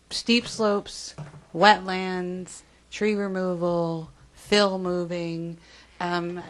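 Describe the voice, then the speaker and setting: A woman on a town board giving her view at a public meeting, measured and pausing with ums. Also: fairly low pitch. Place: town hall meeting room.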